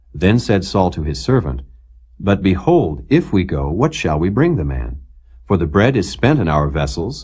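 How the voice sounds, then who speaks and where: A man narrating the Bible from a script, solemn and measured, phrase by phrase. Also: low-pitched, a little mellow, breathy edge. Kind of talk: scripted scripture reading, King James English.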